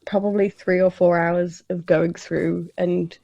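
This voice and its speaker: A woman's voice: on the low side, level tone, a little slow, creaky, dark tone, dry recording, highs cut off. A woman who is a guest on a podcast. She has a non-American English accent and talks candidly, often putting herself down and saying "like". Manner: candid, self-deprecating, many "like"s. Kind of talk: casual conversation.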